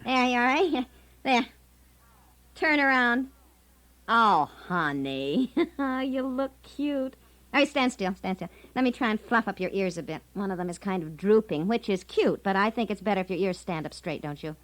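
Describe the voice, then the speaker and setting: A woman's voice: mid-range voice, expressive, moderate pace, fairly bright tone, lightly acted. A woman with an American accent playing a coaxing, persistent middle-aged wife who fusses and cajoles, in the style of a 1960s radio comedy sketch. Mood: amused, fussing. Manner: fussing, cajoling.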